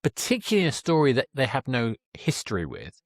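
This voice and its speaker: A man's voice: medium pitch, wildly swooping pitch, fast, no room sound. A man hosting a conversational podcast interview, rambling enthusiastically and often rephrasing himself mid-thought. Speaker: podcast host interviewing a guest.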